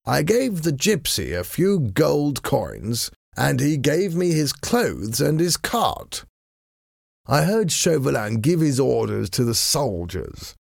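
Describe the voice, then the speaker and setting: A man voicing a calm, bored-sounding gentleman hero in an audiobook, with a drawling, courteous, nonchalant manner. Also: medium pitch, slow pace, gravelly, dry recording, studio quality, voice pushed up, full character voice. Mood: calm explaining. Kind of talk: acted audiobook dialogue.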